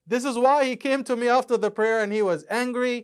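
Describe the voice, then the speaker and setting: A man's voice: extremely high pitch, brisk, clean tone. A man, an author and imam, explaining his Quran dictionary and how it was made, step by step with examples. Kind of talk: explanatory talk about a book.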